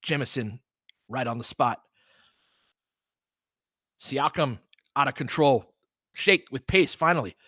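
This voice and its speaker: A man with a bright, forceful voice and a middling pitch hosts audio play-by-play of basketball. He calls the action in short, clipped phrases as an openly partisan fan and explains tactics conversationally. The sound is dry and close, with little room on it. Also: animated, slow pace, long pauses, no echo. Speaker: host and play-by-play commentator. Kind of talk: live audio basketball commentary with casual tactical analysis.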